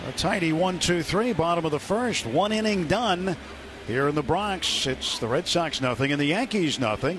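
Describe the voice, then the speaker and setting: American male baseball radio announcer, fairly high voice, clipped descriptive phrasing, clearly noisy ballpark broadcast. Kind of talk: live sports commentary. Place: outdoor ballpark broadcast booth.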